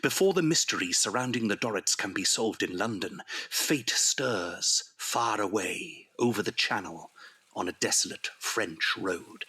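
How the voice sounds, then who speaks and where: Low, bright-voiced male dramatic narrator. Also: animated, measured pace, husky.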